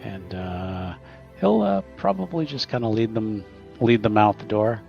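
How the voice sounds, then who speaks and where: A man playing a tabletop roleplaying game, improvising casually in a halting, stammering way. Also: fairly low pitch, expressive, creaky, highs cut off, faint music behind.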